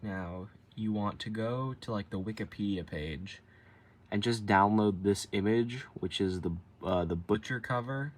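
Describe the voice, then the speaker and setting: A man's voice: fairly low pitch, a little slow. A man giving a casual step-by-step how-to tutorial in an informal, colloquial way, explaining as he demonstrates. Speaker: tutorial presenter.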